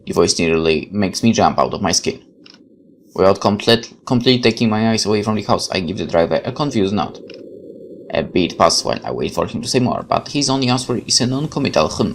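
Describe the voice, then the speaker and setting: A man's voice: lowish voice, lively intonation, brisk, a little hiss or hum. A man playing a game for viewers, reading visual novel narration aloud like a dramatic storyteller and adding musing commentary. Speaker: let's player.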